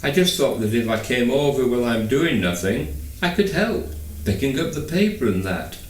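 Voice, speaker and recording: A man's voice: medium pitch, expressive, moderate pace, natural voice. A man voicing a shy, eager working-class teenage boy, polite and hesitant, in an audiobook. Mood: earnest, pleading. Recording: echoey room, distant microphone.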